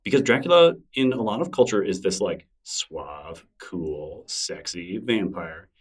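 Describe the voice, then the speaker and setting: Low-voiced chatty man, a bit off-mic. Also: animated, a little slow, husky, far from the mic. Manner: chatty, enthusiastic, laughs easily. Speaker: podcast guest, newsletter creator. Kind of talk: casual interview conversation.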